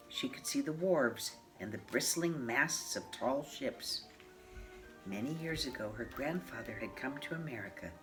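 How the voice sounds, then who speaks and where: A woman of mature years reading a children's picture book aloud in a measured, expressive way. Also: rumbling low. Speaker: storybook reader. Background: classical piano music.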